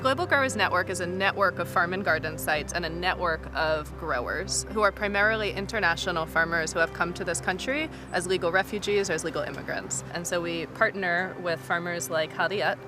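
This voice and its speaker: A woman's voice: on the low side, a little fast, hardly any pauses, over music. A woman organizer from a farm network. She greets people in a friendly way and explains the network's work. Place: outdoors on a farm.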